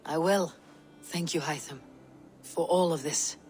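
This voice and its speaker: An actress playing a tough Viking warrior woman in a video game, measured and dry, a steady leader. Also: deep voice, faint music behind. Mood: wry, calm. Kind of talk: dramatic video game cutscene acting.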